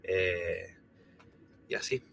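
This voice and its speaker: A man in his thirties from Argentina, his Argentine Spanish accent softened by years in Spain, chatting casually as co-host of a live stream with many fillers and restarts. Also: low voice, completely flat delivery, drawn-out words, creaky. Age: 30s.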